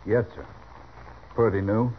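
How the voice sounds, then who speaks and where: A muffled 1950s radio recording holds a polite American gunman acted by a man. His voice is on the low side, quiet and easygoing. He answers courteously but keeps himself guarded. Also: steady tone, measured pace, slight background noise, lightly acted. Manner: soft-spoken, courteous, guarded. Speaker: radio drama actor. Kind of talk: 1950s radio Western acting.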